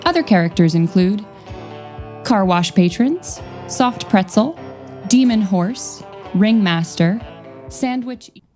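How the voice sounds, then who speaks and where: A woman's voice: medium pitch, lively intonation, slow, pauses often, a little mellow. A woman reading scripted cast credits as a narrator. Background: guitar music.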